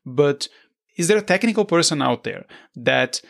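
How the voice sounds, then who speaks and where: A man whose first language is Portuguese, hosting a podcast interview in a conversational, halting way with frequent restarts. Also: on the high side, very animated, gravelly. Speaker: podcast host.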